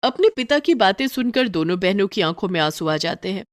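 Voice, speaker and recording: A woman's voice: medium pitch, animated, brisk, dry recording, studio quality. A woman storyteller reading scripted narration for a moral story.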